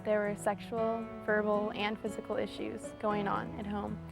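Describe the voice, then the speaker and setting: A woman's voice: medium pitch, completely flat delivery, moderate pace, creaky. An eighteen-year-old woman giving a candid account of her life in an interview. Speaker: interviewee, foster youth. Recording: music bed under the voice.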